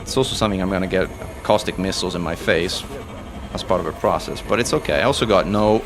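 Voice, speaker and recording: Low-voiced Italian-born gamer over noise. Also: male voice, fast. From Italy. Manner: excitable, talks through each move while playing. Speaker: streamer and commentator. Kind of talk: live gameplay commentary.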